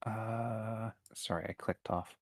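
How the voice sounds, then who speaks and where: A man with a fairly low voice talks casually as a player at a tabletop game. The recording is close and dry, with almost no room sound. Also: steady tone, slow pace, dry recording.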